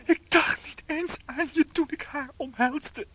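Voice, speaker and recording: A woman playing a tiny shrunken unfaithful husband in a radio play, theatrical, anxious and guilt-ridden, with a high husky voice. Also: unhurried, fairly bright tone, no room sound. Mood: confessing.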